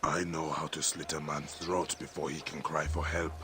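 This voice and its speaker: A man's voice: extremely low pitch, flat delivery, moderate pace. A man voicing a grim, terse Egyptian warrior-assassin in a game cutscene, speaking curtly and with menace. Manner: curt and menacing.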